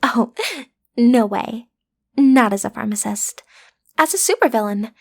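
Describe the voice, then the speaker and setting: High-voiced cute teenage villain girl, acted by a woman. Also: measured pace, darkish tone. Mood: proud, explaining. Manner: theatrical, sassy, hesitant when breaking character. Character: cute teenage girl, amateur supervillain-in-training, playfully menacing but awkward. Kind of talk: roleplay audio drama, addressed to the listener.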